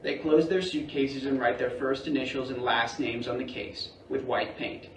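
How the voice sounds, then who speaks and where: A man's voice: medium pitch, fairly even intonation, normal speed. A man reading scripted stage directions as narrator at a staged play reading in a roomy theatre. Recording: roomy theatre, distant mic, faint noise.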